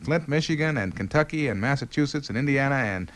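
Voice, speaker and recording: A man's voice: medium pitch, lively intonation, fast, fairly bright tone. A man co-hosting a live radio call-in show, jovial and joking. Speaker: radio co-host.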